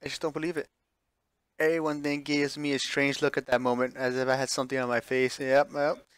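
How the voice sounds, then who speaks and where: A man's voice: medium pitch, little pitch movement, fairly quick, a bit bright, clear, resonant tone, dry recording. A man streaming a visual novel, reading it aloud with offhand reactions and correcting himself when he stumbles over words. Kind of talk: live playthrough read-aloud with offhand reactions.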